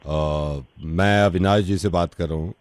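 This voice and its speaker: A man playing a polite, worried middle-aged father on a prank call, courteous, measured and confiding. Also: deep voice, steady tone, slight background noise, pitched below the performer's own voice. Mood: polite, concerned.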